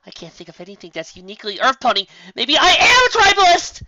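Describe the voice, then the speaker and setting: Reader putting on a high, frantic young unicorn mare, swooping voice, shrill and self-doubting, muffled dry recording. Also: huge pitch swings, quick pace, pushed and bright, no room sound, heavily acted. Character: excitable anxious young female unicorn sorceress. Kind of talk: fan fiction reading. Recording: dull, muffled home recording.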